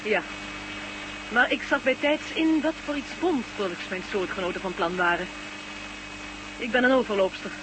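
A woman's voice: mid-range voice, moderate pace, long pauses, close to an everyday voice. Over a noisy, humming old recording, an actress plays a female defector in a space radio drama. She is composed and serious, speaking formally and directly with a dry, commanding edge. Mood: grave, assured. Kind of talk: Dutch science fiction radio play acting.

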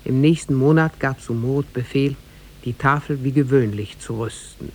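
A man narrating a tale in a scripted, measured and formal 1950s radio drama style. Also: mid-range voice, darkish tone. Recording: noisy 1950s radio recording.

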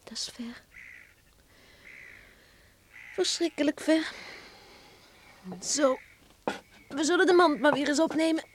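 High-voiced young island farm girl. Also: measured pace, slight background noise. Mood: wistful, musing. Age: young adult. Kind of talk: Dutch radio play acting.

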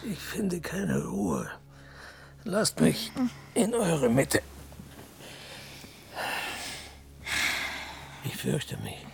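A man's voice: on the high side, wildly swooping pitch, takes it slowly, vocal fry, darkish tone, slight background noise, full character voice. An actor playing an anxious, vain Persian king in an intimate radio drama, murmuring. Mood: fearful, restless. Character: anxious, restless Persian king, vain about his name.

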